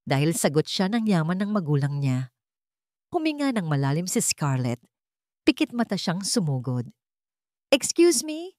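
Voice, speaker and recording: A woman's voice: deep voice, very animated, moderate pace, a little mellow, dry recording, clean studio sound. A woman with a Filipino accent narrating a scripted love drama with dramatic, emphatic phrasing. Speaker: storyteller.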